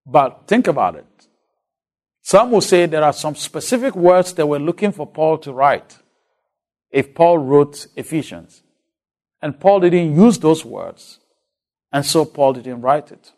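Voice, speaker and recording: A man with a Ghanaian accent delivers a deliberate lecture, pausing to put questions to his listeners. His voice sits fairly high for a man and swings widely in pitch. He speaks slowly, weighing each phrase. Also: lively intonation, darkish tone. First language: a Ghanaian language. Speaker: Bible lecturer. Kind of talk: teaching lecture.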